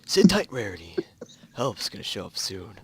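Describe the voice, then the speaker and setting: A man voicing a calm, reassuring female unicorn pony in a hushed, breathy voice. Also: fairly low pitch, moderate pace, exaggerated voice. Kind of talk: amateur fan fiction reading.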